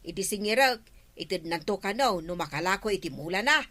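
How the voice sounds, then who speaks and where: A woman playing an exasperated village shopkeeper in a comedy radio drama, expressive and complaining. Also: medium pitch, brisk.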